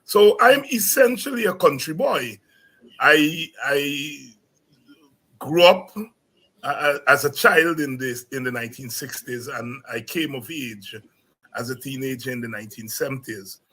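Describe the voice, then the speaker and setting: A man's voice: medium pitch, measured pace, husky. A man in his sixties from Guyana with a Guyanese accent, reflecting as a guest on an interview and often repeating words as he starts a thought. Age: 60s. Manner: animated storyteller, repeats words when starting. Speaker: guest, university lecturer.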